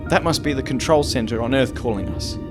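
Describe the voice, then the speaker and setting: A man's voice: mid-range voice, brisk, natural voice. Guy playing polite scientist at a space base, formal, mid voice, music behind, fan audio play. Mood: businesslike. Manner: formal, courteous. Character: Doctor Zemeckis, dutiful grateful male base scientist. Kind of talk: amateur fan audio drama acting.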